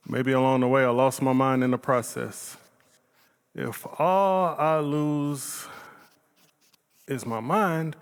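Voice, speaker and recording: An actor playing a troubled, passionate painter, musing aloud haltingly in a theatrical stage monologue. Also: male voice, fairly high pitch. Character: troubled, passionate male painter, brooding and unhinged. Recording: reverberant hall, stage performance.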